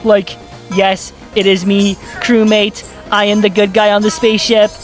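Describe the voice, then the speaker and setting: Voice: medium pitch, flat delivery, moderate pace, soft background music. A video commentator talking casually in an exasperated, sarcastic way and swearing freely. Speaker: YouTube video essayist. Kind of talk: casual commentary with scripted narration.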